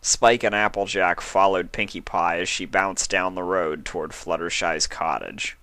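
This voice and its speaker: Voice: deep voice, steady tone, normal speed, fairly bright tone, smooth, no echo. A narrator reading a scripted story aloud as a fan fiction reader.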